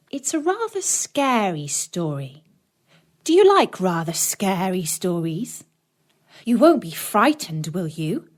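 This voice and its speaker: A woman narrating a children's story expressively, gently addressing young listeners. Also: mid-range voice.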